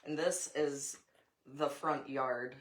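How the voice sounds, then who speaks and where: A woman's voice: very deep voice, fairly even intonation, takes it slowly. An American woman reads a children's picture book aloud, adding informal asides to a young child. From United States. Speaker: storybook reader, mother.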